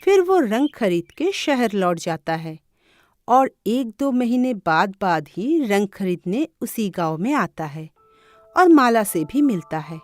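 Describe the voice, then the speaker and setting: Female cartoon storyteller: high, very lively intonation, slow delivery over music. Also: no room sound. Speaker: narrator. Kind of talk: scripted cartoon story narration. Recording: music behind the voice.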